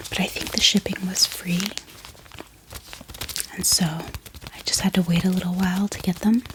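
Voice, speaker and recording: A woman hosting an ASMR channel, speaking in a gentle, hushed whisper with pauses. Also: fairly low pitch, fairly even intonation, poor audio. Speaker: ASMR book channel host. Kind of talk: soft-spoken whispered ASMR.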